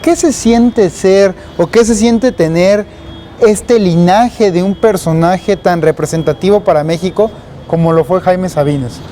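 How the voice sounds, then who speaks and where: High-voiced male street interviewer. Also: measured pace, mellow, a little hiss or hum. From Mexico. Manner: friendly, encouraging, frequent backchannel. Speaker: host. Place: outdoors on a city street.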